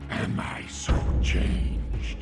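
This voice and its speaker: A man playing a decayed, monstrous vampire in a video game, rasping and breathy, slow, menacing and mournful. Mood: sinister, mournful. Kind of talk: dramatic video game voice acting. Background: loud music.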